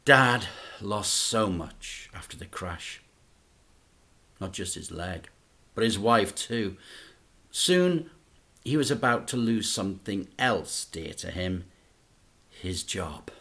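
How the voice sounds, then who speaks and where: A man, a teacher, reading a children's book aloud in an expressive, dramatic storytelling manner. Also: low voice, slow, long pauses.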